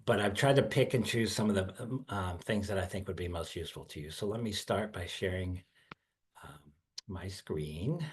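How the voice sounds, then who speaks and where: A man's voice: deep voice, normal speed. An older man giving a webinar presentation over a headset on a video call, earnest and full of fillers and repeated words. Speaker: guest speaker. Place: indoor room, video call.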